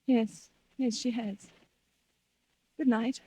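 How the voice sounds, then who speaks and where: An actress playing a frightened, suspicious wife in an old-time radio thriller, hesitant and pleading. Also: female voice, fairly high pitch, steady tone, slow, mellow, breathy edge, rough recording. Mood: resigned, uneasy. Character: frightened, suspicious wife who fears being poisoned.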